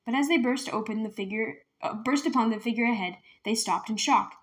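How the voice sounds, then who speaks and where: A woman's voice: a little high, fairly quick. A woman reading a children's novel aloud as a storyteller, narrating from the page. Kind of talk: scripted children's book narration.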